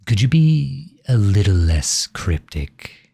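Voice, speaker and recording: A man's voice: lowish voice, sing-song, takes it slowly, vocal fry, mellow, husky, full character voice. A man acting in an ASMR roleplay as a grumpy, exasperated male creator with a sarcastic, flustered, grudging manner. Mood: puzzled, wary.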